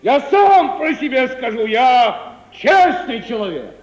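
An actor playing a stubborn, blustering provincial merchant proud of his honour, with folksy speech and drawn-out indignant retorts, in 1950s Russian radio comedy. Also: extremely high pitch, sing-song, highs cut off, full character voice. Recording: roomy vintage 1950 radio play recording.

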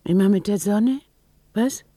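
A woman's voice: mid-range voice, unhurried, warm tone, no room sound, close to an everyday voice. An actress playing a fussy, self-righteous older woman in a radio drama, reproachful and insistently well-meaning. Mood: nagging, hurt.

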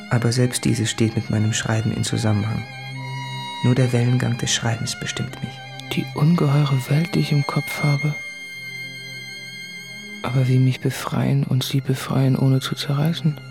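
A man's voice: mid-range voice, vocal fry. An actor playing an anguished, introspective man of about thirty reading out his confessional letters in a literary radio drama.

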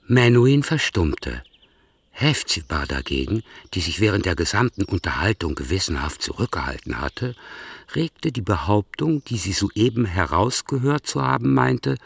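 A man with a gravelly, husky voice narrating a literary radio drama. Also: fairly low pitch, very animated, normal speed, dry recording, heavily acted.

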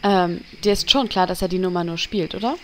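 A woman's voice: lowish voice, brisk, darkish tone, a little hiss or hum, natural voice. A woman playing a blunt, sarcastic, no-nonsense adventurer in a comedy audio drama.

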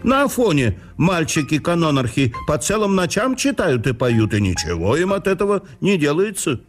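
A man's voice: fairly high pitch, big pitch swings, moderate pace, faint music behind, pitched above the performer's own voice. A man voicing a stern, pious old merchant father who insists earnestly, in a radio play reading. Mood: insistent.

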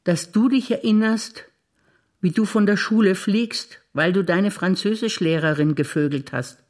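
A wistful, playful woman an actress in the role in a small absurdist stage play, reciting her memories like a deliberate litany. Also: mid-range voice, darkish tone, natural voice. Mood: playful, teasing. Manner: deliberate, incantatory repetition. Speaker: stage actor. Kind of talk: theatrical spoken monologue of memories.

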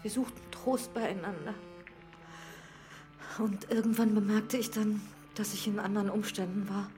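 An actress as an older widowed housekeeper in a radio play, mid-pitched and discreet. She speaks with sorrowful hesitation, often hushed, over soft music. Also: fairly even intonation, normal speed, lightly acted. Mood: hesitant, ashamed, then sorrowful confession. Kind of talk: radio drama acting.

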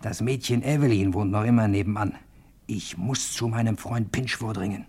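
An actor playing a cocky, chatty amateur sleuth who confides casually and boastfully in the listener in a radio crime play. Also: male voice, fairly low pitch, normal speed, a little mellow, breathy edge. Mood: conspiratorial.

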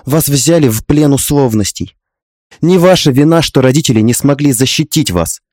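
A man's voice: mid-range voice, moderate pace, darkish tone, no room sound, studio quality, close to an everyday voice. A man voicing a young wife in an audiobook drama, indignant and stubborn, pleading in an urgent, emphatic way. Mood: earnest, indignant.

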